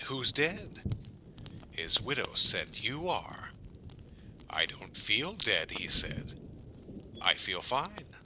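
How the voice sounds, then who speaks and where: A man's voice: lowish voice, noisy background. A man playing a brooding, menacing, jealous rival suitor in an audio drama. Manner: menacing, intense.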